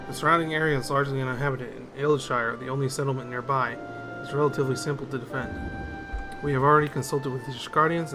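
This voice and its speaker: Earnest fantasy game officer, read by a man, mid-pitched, polite and orderly briefing, music clearly behind. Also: close to an everyday voice. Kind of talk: game dialogue read aloud.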